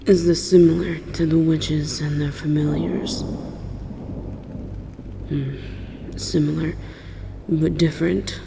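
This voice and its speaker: A woman voicing an aloof, wounded, world-weary dragon in a measured, solemn, formal roleplay monologue. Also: sits low, fairly even intonation, vocal fry, background noise, full character voice. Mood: guarded, curious. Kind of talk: slow dramatic roleplay audio monologue.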